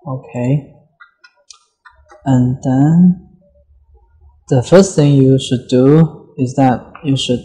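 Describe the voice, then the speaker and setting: A man giving an unscripted step-by-step software walkthrough in a halting way, often pausing mid-sentence. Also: medium pitch, low-quality recording. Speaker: software tutorial presenter.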